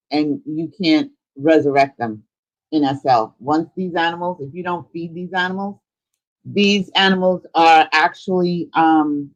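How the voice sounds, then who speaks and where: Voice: mid-range voice, rough recording. A live stream host chatting casually and informally, thinking aloud while giving a tour of a virtual world.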